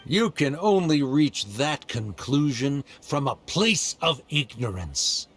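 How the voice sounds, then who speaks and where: A condescending male academic lays out his stance in measured, formal sentences. pitching a little high for a man, and the voice climbs and falls a lot as he lectures. It sounds like a game cutscene. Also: very animated, a little hiss or hum, heavily acted. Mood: dismissive. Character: haughty male Academia scholar, condescending debater. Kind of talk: game voice acting.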